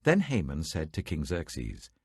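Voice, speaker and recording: A man reading scripture aloud in a measured, dramatic manner, close to the mic. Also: very deep voice, wildly swooping pitch, a little mellow, slightly rough, no echo. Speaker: Bible reader.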